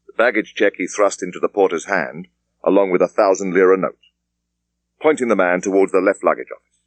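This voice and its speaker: A man with an English accent reading a thriller audiobook in a measured, precise way. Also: low-pitched, no room sound. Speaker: audiobook narrator. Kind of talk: scripted thriller narration.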